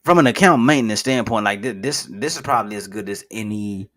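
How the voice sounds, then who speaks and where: Low-voiced man in his thirties, rambling. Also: expressive, brisk, a bit bright. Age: 30s. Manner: rambling, self-correcting, with frequent fillers and restarts; informal, addresses viewers as "guys". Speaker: finance video host. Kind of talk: casual screen-share commentary.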